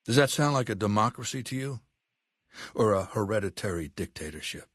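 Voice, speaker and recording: An actor playing an imperious, cerebral middle-aged warlord in a video game, speaking with deliberate, disdainful authority. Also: male voice, on the low side, expressive, fairly bright tone, husky, no room sound, clean studio sound. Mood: reflective, wry. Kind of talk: video game character dialogue.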